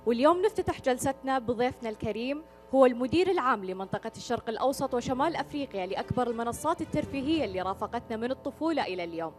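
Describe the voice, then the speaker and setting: A woman's voice: fairly high pitch, expressive, racing, hardly any pauses, a little mellow, faint music behind. A woman presenter giving a formal stage introduction of a guest. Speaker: event host and presenter.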